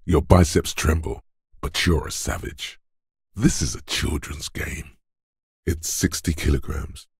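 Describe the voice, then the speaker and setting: An actor voicing a gruff, domineering inner voice of brute strength in a video game, husky and rumbling, with scornful, deliberate drill-sergeant delivery. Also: male voice, no room sound, clean studio sound, exaggerated voice. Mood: gruff, intense. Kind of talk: video game character narration.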